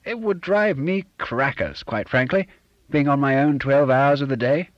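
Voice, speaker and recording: A man's voice: mid-range voice, a little slow, no room sound, lo-fi sound, pitched above the performer's own voice, lightly acted. A man reading an audiobook and voicing a curt, pushy man who puts on a fake genteel accent and talks down to his friend. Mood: bluff, patronising. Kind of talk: audiobook character voice.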